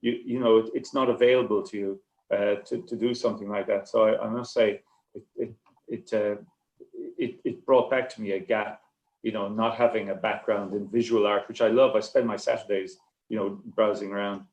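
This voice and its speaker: Male writer on a panel, halting and repetitive delivery, rambling answers, echoey recording. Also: fairly low pitch, fairly even intonation, darkish tone, dull audio. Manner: halting, stammering, repeats words and restarts. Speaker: short story writer on a festival panel. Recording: echoey, roomy sound.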